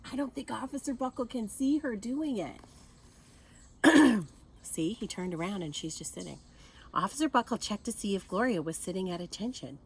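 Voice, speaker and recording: A woman with a mid-range voice reads a children's picture book aloud like a teacher. She is expressive and turns to her young listeners with small asides and questions. There is faint background noise in the recording. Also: mid-range voice, poor audio.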